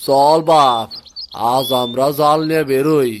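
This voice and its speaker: A man's voice: medium pitch, steady tone, measured pace, background noise, natural voice. A man voicing a poor, worried fisherman father in a cartoon, speaking folksy rural Bangladeshi Bengali. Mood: despairing. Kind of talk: animated moral story acting.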